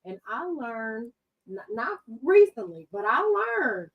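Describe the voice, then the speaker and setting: A woman's voice: a little high, very animated, takes it slowly, distant mic, dull audio. A woman panelist giving faith-based personal testimony in emphatic, repetitive phrases.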